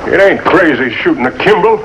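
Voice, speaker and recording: A man's voice: on the high side, expressive, fairly quick, muffled recording, background noise, close to an everyday voice. An actor playing a stern, domineering middle-aged backwoods father, commanding and scornful, in a 1950s TV melodrama. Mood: angry, scornful. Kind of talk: 1950s American television melodrama acting. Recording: old TV soundtrack under loud music.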